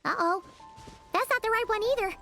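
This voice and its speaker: A woman voicing a tiny, excitable, chatty fairy companion with a childlike manner in a video game. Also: extremely high pitch, a little fast, vocal fry, faint music behind, exaggerated voice. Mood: worried, chatty. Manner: exclamatory, childlike.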